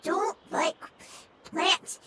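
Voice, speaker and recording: Squeaky, halting little creature, very slow. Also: female voice, sing-song, full character voice. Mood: earnest. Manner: halting broken phrases. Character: small timid worried childlike elemental creature. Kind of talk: video game voice acting. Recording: distant voice under loud music. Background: game music.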